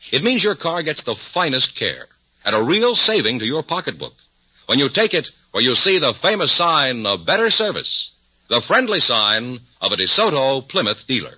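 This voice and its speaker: A man's voice: medium pitch, big pitch swings, moderate pace, sharp tone, husky, no room sound, dull audio. A man reading a scripted 1950s radio advertisement with an emphatic, polished sales delivery on a vintage recording. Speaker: commercial announcer.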